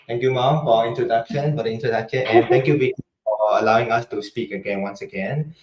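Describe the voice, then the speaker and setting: A man's voice: mid-range voice, steady tone, highs cut off, slight background noise. Young man, friendly, echoey room. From Burma. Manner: friendly, polite, slightly halting. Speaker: guest. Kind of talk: casual interview introduction.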